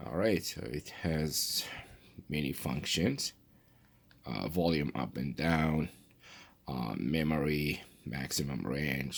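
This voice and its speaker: A man with an extremely deep, creaky voice presents a product unboxing. His delivery is casual and halting, as if thinking aloud, and his pitch moves around freely. There is no studio polish to the talk.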